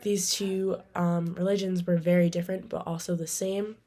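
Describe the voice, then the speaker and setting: A woman giving a halting, semi-scripted informational presentation with frequent ums and pauses. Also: lowish voice, level tone, dark tone.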